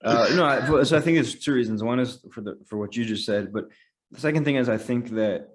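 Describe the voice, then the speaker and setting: A man's voice: medium pitch, fairly quick. An American man from Los Angeles, a company founder, giving direct, matter-of-fact answers in a casual interview. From Los Angeles, United States. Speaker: streaming company founder, guest.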